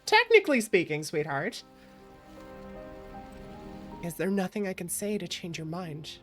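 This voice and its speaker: A woman's voice: fairly low pitch, wildly swooping pitch, measured pace, a bit bright, soft background music. A woman livestreaming a game playthrough as a VTuber, talking casually in a chatty, affectionate, playful manner. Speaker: VTuber streamer.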